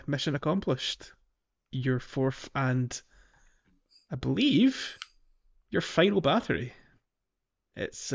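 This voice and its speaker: Man chatting haltingly, slightly dull audio. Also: mid-range voice, creaky. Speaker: podcast host. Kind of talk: casual video game walkthrough chat.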